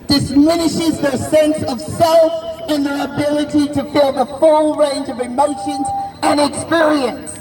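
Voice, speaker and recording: A woman in her sixties giving an impassioned rally speech outdoors, declaiming in drawn-out phrases and shouting key words for emphasis. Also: high voice. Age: 60s. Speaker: rally speaker. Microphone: unamplified, distant camera. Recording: distant, echoey outdoor recording with crowd. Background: crowd noise.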